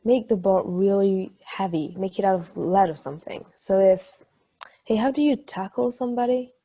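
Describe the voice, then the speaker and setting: A woman's voice: mid-range voice, normal speed, close to an everyday voice. A woman playing a playful, chatty married ex-athlete in a casual, conversational stage play table read. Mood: playful, enthusiastic. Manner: casual, swearing, animated storytelling.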